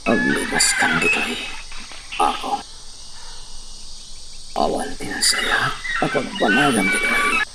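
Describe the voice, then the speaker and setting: A man playing a suffering captive in an Ilocano radio drama, halting and pleading, with an Ilocano accent. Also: low-pitched, wildly swooping pitch, very noisy, heavily acted. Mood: urgent, strained. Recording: distant voice under loud music.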